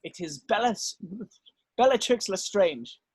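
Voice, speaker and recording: Dry-sounding man narrating, fairly high voice. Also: lively intonation, unhurried, a little mellow, slightly rough, no room sound. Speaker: narrator reading stage directions. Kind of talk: scripted narration.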